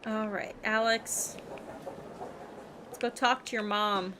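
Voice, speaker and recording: A woman's voice: medium pitch, fairly even intonation, slow pace, background noise. A woman livestreaming a game, chatting and rambling casually and reading things aloud as she plays. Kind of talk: casual stream chat and game commentary.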